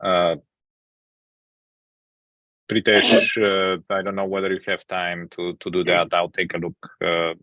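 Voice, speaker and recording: Flat-voiced man hosting a video call. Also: low voice, no room sound, lo-fi sound. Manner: halting, many fillers. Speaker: meeting host, project maintainer. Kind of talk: casual online meeting chat. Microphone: computer mic on video call.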